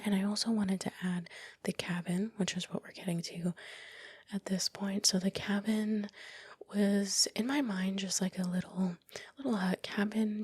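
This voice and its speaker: A woman giving soft, unhurried ASMR commentary in a breathy whisper, explaining informally, close to the microphone. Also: on the low side, no room sound. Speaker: video creator.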